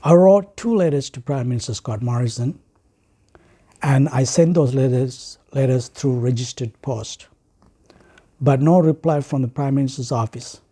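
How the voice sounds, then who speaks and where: A Sri Lankan man reads out a prepared speech in a very dark, soft-edged voice. His tone is husky and mid-pitched. He speaks formally and deliberately, setting off phrases with pauses for emphasis. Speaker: writer and speaker.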